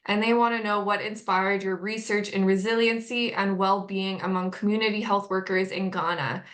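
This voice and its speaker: A woman's voice: mid-range voice, steady tone, moderate pace, a bit bright. A woman moderating a webinar, steadily reading out audience questions.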